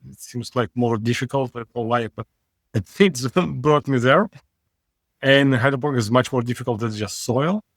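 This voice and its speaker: Male CEO guest from Israel, frank and wry, mid-pitch, dry close sound. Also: dry recording. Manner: frank, wry, halting non-native phrasing. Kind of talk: casual interview talk.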